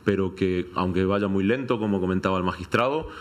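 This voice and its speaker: A man from Argentina giving his opinion on a discussion panel, setting out points deliberately with pauses to find words. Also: low-pitched. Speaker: panelist, businessman. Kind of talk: conversational opinion in a round-table debate.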